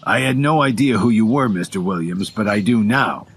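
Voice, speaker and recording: A man's voice: lowish voice, moderate pace, slightly rough. Man acting a grovelling, frightened underling. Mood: contrite, pleading. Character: contrite, frightened male underling begging for mercy. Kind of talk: audio drama acting.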